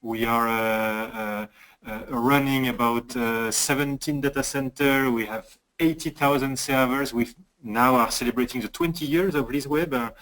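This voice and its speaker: A man presenting as a cybersecurity manager in a webinar, speaking haltingly with many hesitations. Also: medium pitch, a bit bright.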